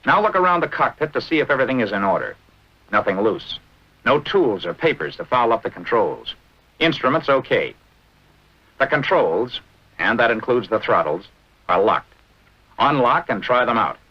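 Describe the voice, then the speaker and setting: American man, vintage military instructional narration, animated intonation, brisk clipped delivery, dull old film soundtrack. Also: mid-range voice, breathy edge.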